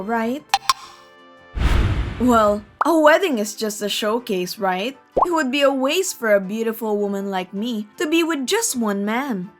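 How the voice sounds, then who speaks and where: A woman's voice: mid-range voice, close to an everyday voice. A woman voicing a vain, haughty young rich bride, condescending and boastful, in a scripted manga story. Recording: music behind the voice.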